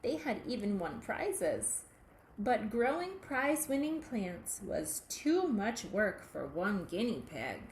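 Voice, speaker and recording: A woman reading a children's picture book aloud, in a deliberate, expressive way aimed at young listeners. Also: medium pitch. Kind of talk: children's storybook read-aloud. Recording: echoey room with faint background noise.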